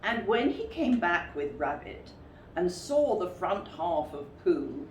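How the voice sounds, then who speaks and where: A woman reading a children's story expressively, with emphatic, deliberate phrasing. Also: on the low side, very animated, a little mellow. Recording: roomy, distant mic with faint noise.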